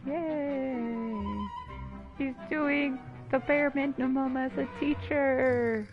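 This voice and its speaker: A woman game streamer with a fairly high voice and an unhurried pace, reacting casually with teasing exasperation. The recording is a little dull, with music underneath. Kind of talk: casual reactive gaming commentary.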